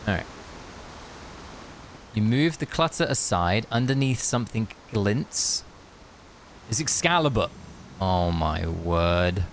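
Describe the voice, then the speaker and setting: Male game streamer: lowish voice, matey and relaxed, casual commentary, faint hiss. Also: slow pace, long pauses. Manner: laid-back, matey, jokey. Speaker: game livestreamer. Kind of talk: casual chat and read-aloud game commentary.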